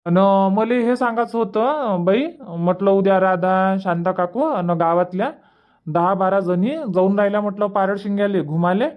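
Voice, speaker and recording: A man's voice: high-pitched, normal speed, narrow, tinny audio, natural voice. A man voicing a friendly village brother in a cartoon phone chat, explaining things in colloquial Varhadi Marathi. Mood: friendly, informative. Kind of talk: cartoon comedy dubbing, casual phone chat.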